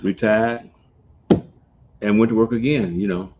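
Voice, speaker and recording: A man, a veteran guest speaking in a slow, halting, plain-spoken way. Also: fairly low pitch, steady tone. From Montgomery, Alabama. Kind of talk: casual interview. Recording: dull lo-fi recording with faint noise.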